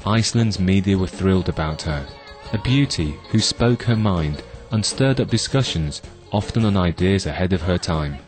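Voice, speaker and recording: A man's voice: deep voice, expressive, moderate pace, darkish tone. A man reading scripted promotional documentary narration. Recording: music behind the voice. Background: music.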